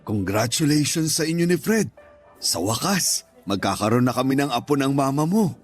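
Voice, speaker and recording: A middle-aged stepfather played by a man speaks in a medium-pitched voice with a Filipino accent. He is devoted, tender and reassuring, like a dad giving counsel in a radio drama, with faint music behind him. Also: lively intonation, normal speed, close to an everyday voice. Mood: pleased. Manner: earnest, fatherly, reassuring. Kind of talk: radio drama acting in Tagalog.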